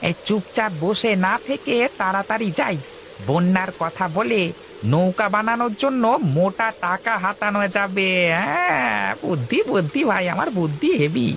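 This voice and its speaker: Cartoon casting: greedy manservant, played by a woman, gravelly and swooping, fawning schemer, faint rain behind. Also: mid-range voice, animated, normal speed, a bit bright, a little hiss or hum. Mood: scheming, smug. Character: sly, greedy, boastful male servant of a landlord. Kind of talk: cartoon dialogue.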